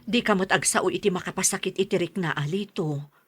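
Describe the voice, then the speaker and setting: A woman's voice: on the low side, brisk, slightly rough, no echo, studio quality. A woman with a Filipino Ilocano accent playing a patient, long-suffering middle-aged mother in a radio serial, pleading and soothing. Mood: pleading, upset. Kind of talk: radio serial drama acting.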